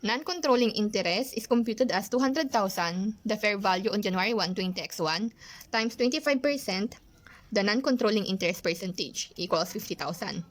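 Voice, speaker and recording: A woman's voice: medium pitch, animated, fairly quick. A woman presenting an accounting lesson as scripted narration, methodically reciting in short phrases.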